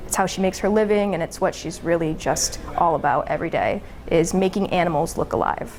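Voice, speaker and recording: A woman's voice: lowish voice, a little fast, a little mellow, a little hiss or hum, low-quality recording. A woman filmmaker answering interview questions.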